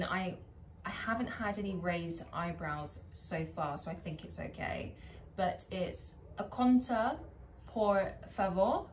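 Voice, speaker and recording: A woman's voice: mid-range voice, a little hiss or hum. A British woman chatting casually in a vlog, laughing at herself as she tries out foreign phrases. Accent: British English. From England. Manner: chatty, self-deprecating, trying out foreign phrases. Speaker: vlogger. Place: home garden.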